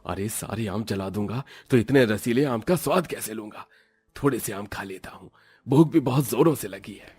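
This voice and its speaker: A man playing a jealous, greedy village villain in an animated moral story, muttering schemes in a husky voice. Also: fairly low pitch, moderate pace, no echo. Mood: jealous, plotting. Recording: faint music behind.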